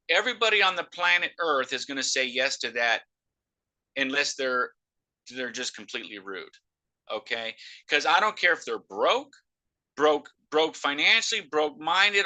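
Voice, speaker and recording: A man giving a loud, emphatic sales pitch on a live video call through a noise-cancelling microphone.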